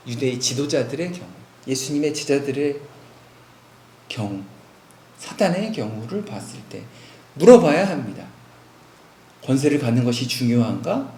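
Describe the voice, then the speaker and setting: A man's voice: sits high, darkish tone, roomy sound. A male pastor preaching a sermon, posing rhetorical questions to his congregation. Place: church sanctuary.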